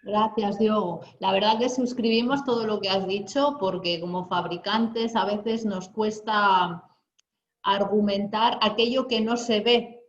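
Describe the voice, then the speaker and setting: A woman's voice: medium pitch, highs cut off. Courteous woman in a roomy space. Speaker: host for the manufacturer. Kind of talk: formal wrap-up interview.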